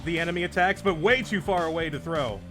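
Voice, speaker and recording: Brief: male let's play host, relaxed explainer, game music playing underneath. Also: a little high, fast talker. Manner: explains game mechanics patiently. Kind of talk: casual gameplay commentary.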